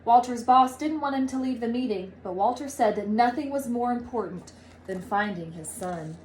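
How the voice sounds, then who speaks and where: A woman reading a children's storybook aloud in an expressive, emphatic manner. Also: fairly high pitch, moderate pace, slight background noise. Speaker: storybook reader, teacher.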